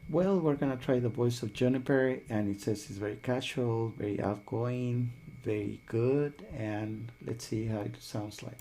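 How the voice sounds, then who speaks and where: A man hosting a software tutorial, explaining each step while thinking aloud. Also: mid-range voice, steady tone, moderate pace, hardly any pauses, background noise. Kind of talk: screen-recorded tutorial.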